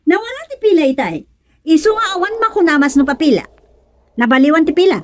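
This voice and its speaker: A scolding, mocking Filipino neighbour acted by a woman in a radio comedy. Her voice is very high, with faint noise in the recording. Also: animated, quick pace, a little mellow. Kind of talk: comedic radio drama acting.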